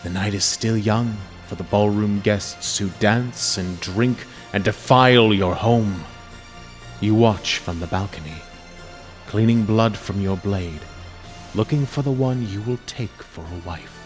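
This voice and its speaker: A man narrates a horror tale in a fairly low voice, drawing it out very slowly. His delivery is theatrical and menacing, slipping toward a whisper at times. The voice rings in long reverb. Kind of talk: dramatic horror reading, second-person narration. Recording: long reverb over music. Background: music.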